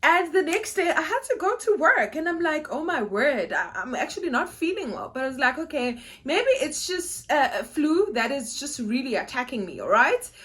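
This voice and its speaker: A woman's voice: high voice, sing-song, moderate pace, hardly any pauses, fairly bright tone. A young South African woman, a native Xhosa speaker, chatting casually to camera in a vlog, repeating words and laughing easily. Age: young adult. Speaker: vlogger.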